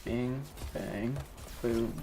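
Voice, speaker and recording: Female game streamer, very deep voice, unhurried delivery, casual and sweary chat over music. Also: fairly even intonation. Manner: sweary, offhand and conversational. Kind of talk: casual livestream chat while gaming.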